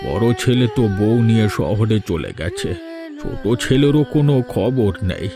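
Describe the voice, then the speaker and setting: A man voicing a frail, wistful old village father-in-law in a cartoon, speaking in a slow, drawn-out way. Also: lowish voice, sing-song, music in the background. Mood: sad, resigned. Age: older. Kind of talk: Bengali cartoon voice acting.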